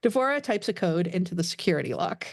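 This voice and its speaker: Woman narrating a script, lively intonation. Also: lowish voice, fast, no echo, studio quality. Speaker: narrator of a podcast table read. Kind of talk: scripted narration, reading stage directions aloud.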